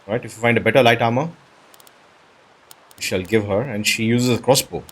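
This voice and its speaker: A man's voice: mid-range voice, moderate pace. A man giving casual let's-play commentary as a gaming host, thinking aloud in short questions. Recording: music behind the voice. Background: game music.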